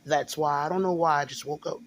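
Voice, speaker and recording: A man's voice: a little high, steady tone, a little hiss or hum. Hesitant man with a sore throat. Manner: halting, repeats words, many fillers. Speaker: video blogger. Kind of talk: casual monologue to camera.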